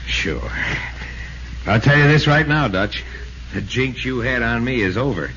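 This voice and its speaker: A blustery, big-hearted American slugger in vintage radio drama. He has a medium-pitched voice on a dull recording with faint noise. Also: animated, measured pace, a bit bright. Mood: genial, cocky. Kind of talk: 1940s radio acting.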